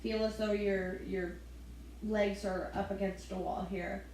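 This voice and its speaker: A woman leading a gentle yoga class, giving soft step-by-step breathing cues with the odd light laugh. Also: medium pitch, fairly even intonation, measured pace, heavy reverb, slight background noise, poor audio. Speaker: yoga instructor.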